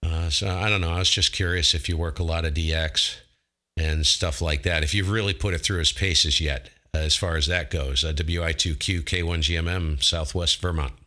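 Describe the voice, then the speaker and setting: A man's voice: deep voice, steady tone, moderate pace, sharp tone. A man chatting casually as a ham radio operator, enthusiastic and full of colorful slang.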